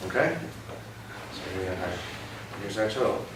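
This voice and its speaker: A young man reading a picture book aloud to a child, pausing often to ask gentle questions about the words. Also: on the low side. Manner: expressive storybook reading broken by gentle teaching questions. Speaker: read-aloud host. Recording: roomy, distant mic with background noise.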